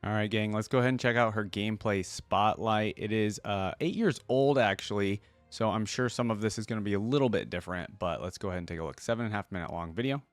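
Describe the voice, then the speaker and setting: A man with a lowish voice gives casual reaction commentary, thinking out loud in an informal way. The recording is close and dry, with faint music underneath. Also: fast talker, hardly any pauses, no room sound. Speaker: reaction video host.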